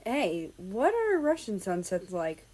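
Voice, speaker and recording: A woman voicing a playful, excitable young man on a road trip in a story reading, casual and a little bashful. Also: medium pitch, slow pace, slightly rough, heavily acted. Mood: happy, wistful.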